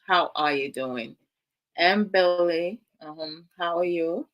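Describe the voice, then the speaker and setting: A woman's voice: lowish voice, takes it slowly, highs cut off. A woman from Ghana, giving casual commentary to her live viewers in a musing, hesitant way with many fillers. Her is Twi. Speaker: vlog host.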